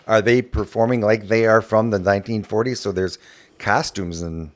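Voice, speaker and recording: A man's voice: on the low side, fairly even intonation, normal speed. A man co-hosting a podcast, putting casual questions to a guest. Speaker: podcast co-host.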